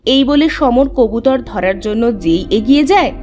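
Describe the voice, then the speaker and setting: A woman's voice: on the high side, expressive, unhurried, muffled recording, over music. A woman giving scripted storybook narration as the narrator of a folk-style tale.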